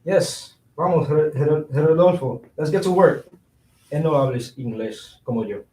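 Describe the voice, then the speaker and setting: Man acting a high-voiced scheming construction worker. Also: expressive, moderate pace, mellow, close to an everyday voice. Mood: eager. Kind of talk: staged reading of a play. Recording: roomy, distant, noisy microphone.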